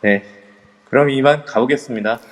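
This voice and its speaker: A man as a calm, determined young leader. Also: medium pitch, moderate pace, natural voice. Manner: polite and firm. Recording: long reverb on a voice chat app.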